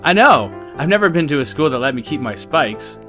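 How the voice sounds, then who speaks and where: A woman voicing a friendly, easygoing teenage schoolboy in an audiobook, with a casual, gentle, cheerful manner. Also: low-pitched, big pitch swings, fairly quick, soft background music. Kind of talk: acted audiobook dialogue.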